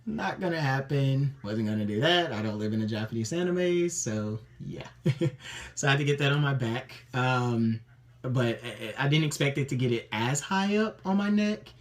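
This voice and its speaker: A man with a mid-range voice, neither high nor low, telling the stories behind his tattoos. He talks in a chatty, upbeat way and often stops on an um or trips over his sentences as he goes. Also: animated, normal speed. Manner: chatty and upbeat, many ums and self-restarts. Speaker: interviewee showing his tattoos. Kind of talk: casual personal account to camera.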